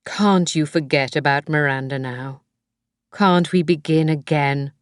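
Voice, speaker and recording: A woman doing a calm, reassuring husband. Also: low voice, unhurried, smooth, no echo, clean studio sound.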